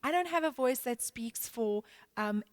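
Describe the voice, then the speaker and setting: Halting, high-voiced woman church leader. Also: lively intonation, no room sound. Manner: halting, many restarts and fillers. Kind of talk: panel discussion.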